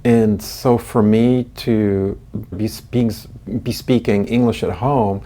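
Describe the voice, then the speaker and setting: A man's voice: fairly low pitch, fairly even intonation, takes it slowly, dark tone. German-raised man in his sixties, reflective. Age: 60s. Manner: reflective, chuckling, many restarts. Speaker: guest. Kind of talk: casual interview chat.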